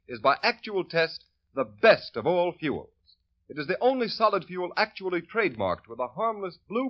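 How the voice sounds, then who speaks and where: Earnest male radio announcer. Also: a little high. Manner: earnest, persuasive salesman delivery. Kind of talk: scripted radio commercial. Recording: dull old radio recording.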